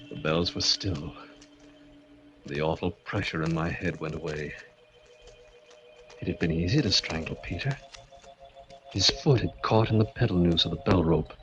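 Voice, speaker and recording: A man's voice: low voice, a little slow, pauses often, highs cut off. An actor in a 1940s radio drama playing a fevered, murderous man sliding into madness, with melodramatic delivery. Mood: eerie, hushed. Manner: melodramatic, swinging from hushed to frantic. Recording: old radio broadcast, organ music behind.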